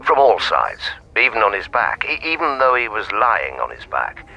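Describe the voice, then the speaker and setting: An actor playing an irritable, sarcastic, overworked forensic scientist who speaks with exasperated emphasis in a radio drama. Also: male voice, lowish voice, wildly swooping pitch, normal speed, pushed and bright, dull audio, exaggerated voice. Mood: curt, reluctant.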